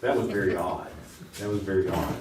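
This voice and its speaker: A man hosts a live quiz in a playful, bantering way. He sounds distant from the microphone, with heavy room echo and clear background noise around him. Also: low voice, brisk. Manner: joking, bantering with contestants. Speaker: quiz show host asking questions. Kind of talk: live comedic game show hosting. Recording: echoey, noisy hall from off mic.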